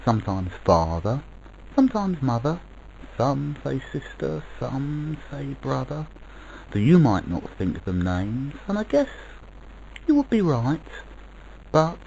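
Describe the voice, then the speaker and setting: A storyteller as a gentle, slow, mysterious spirit. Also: medium pitch, big pitch swings, creaky, muffled recording, slight background noise. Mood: playful, musing. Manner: patient, hushed, soothing, musing. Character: gentle, amused, mysterious motherly spirit voice of many names.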